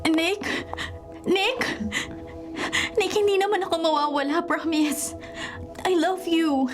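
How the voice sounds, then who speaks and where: A woman's voice: extremely high pitch, fairly even intonation, takes it slowly, over music. A woman playing a sweet, reassuring young bride in love in a radio drama. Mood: tender reassuring.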